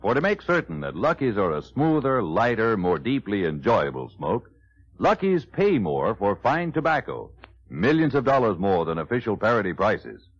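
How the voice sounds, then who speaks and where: American man, 1940s radio ad announcer, emphatic, animated intonation, muffled old recording. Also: lowish voice, moderate pace, breathy edge. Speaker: commercial announcer. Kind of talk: 1940s radio advertisement reading.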